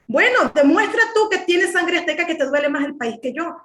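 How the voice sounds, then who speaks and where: Venezuelan woman commentator, high-pitched, emphatic and indignant, echoey room. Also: lively intonation, fairly quick, a bit bright, a little hiss or hum. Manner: indignant, emphatic, repeats words when worked up. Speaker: political commentator. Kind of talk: heated political commentary.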